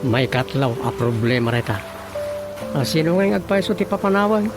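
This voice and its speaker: A man's voice: mid-range voice, animated, fairly quick, close to an everyday voice. A man acting an earnest, problem-solving village husband in an Ilocano radio comedy drama. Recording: radio drama with music bed behind.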